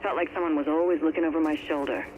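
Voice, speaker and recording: Game role: male actor as candid field agent, high voice, relaxed shop talk, tinny audio log with faint noise. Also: rapid-fire, natural voice. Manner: casual, candid. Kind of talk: casual conversational acting in a video game audio log. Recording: tinny, slightly noisy recorded audio log.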